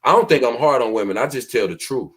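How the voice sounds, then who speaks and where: A man with a deep voice talks with emphatic, preachy conviction in a casual podcast. He projects loudly on purpose so his low voice does not blur. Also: expressive, fast talker, crowd noise. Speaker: podcast host.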